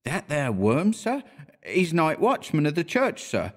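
A man's voice: a little high, wildly swooping pitch, measured pace, voice pushed up, exaggerated voice. A man voicing a young Cockney bellboy who talks deferentially, adding sir to every line. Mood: eager. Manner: deferential, keeps saying sir.

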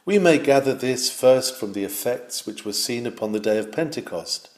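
A man reading a nineteenth-century sermon aloud, measured and emphatic, rising on his questions. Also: medium pitch, animated. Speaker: sermon reader. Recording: roomy echo.

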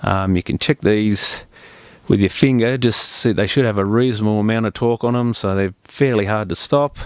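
Low-voiced man giving a practical repair demonstration. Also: moderate pace, clear, resonant tone, no echo. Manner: plain-spoken, practical, step-by-step explaining. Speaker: repair technician presenting a tutorial. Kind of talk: unscripted hands-on repair demonstration.

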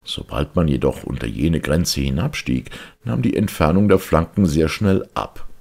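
Very deep, gravelly male narrator. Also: moderate pace, darkish tone. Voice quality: gravelly, husky. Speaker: audiobook narrator. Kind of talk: literary audiobook reading, scripted narration.

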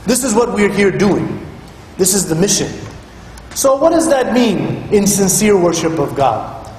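A man's voice: high voice, big pitch swings, a little slow, a little mellow, slight background noise. Man preaching in an echoey hall. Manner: earnest, explanatory, chants Quranic Arabic. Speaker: imam giving a Friday sermon. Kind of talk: sermon. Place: rented school hall used as a mosque. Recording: very echoey hall, faint ambience.

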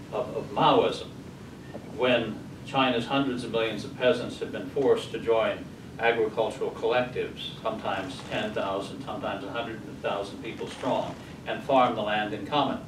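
Male American speaker, 60s, emphatic lecture delivery, distant mic with background noise. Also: mid-range voice. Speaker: conference speaker. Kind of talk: slide presentation lecture. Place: hotel conference room, indoors. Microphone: far camera. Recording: distant camera mic, echoey, noisy room.

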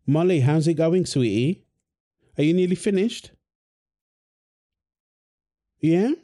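A man speaks slowly, easing through each line without rushing. His tone is soft and mellow, with no edge to it. He performs a wholesome audio roleplay as a teasing husband and a fond dad who coos to a baby. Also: a little high, expressive.

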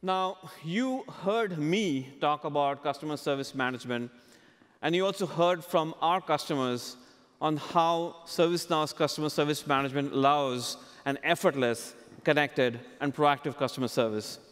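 A man in his forties with a slightly high voice hosts a keynote interview on a big stage. He wears a headset mic, and the large hall adds a long reverb to his voice. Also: moderate pace, fairly bright tone. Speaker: keynote host interviewing a customer. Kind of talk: conference stage presentation and interview. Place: large conference stage, indoors.

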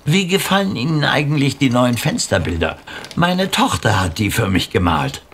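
A man's voice: medium pitch, very animated, moderate pace, fairly bright tone, a little hiss or hum. An actor playing a patient, courteous male psychiatrist with a polite, reassuring manner in an audio drama. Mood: friendly, businesslike.

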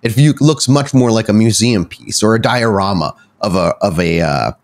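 A man's voice: on the low side, moderate pace. A man running a vampire tabletop game as storyteller, improvising wordy, descriptive narration and correcting himself as he goes.

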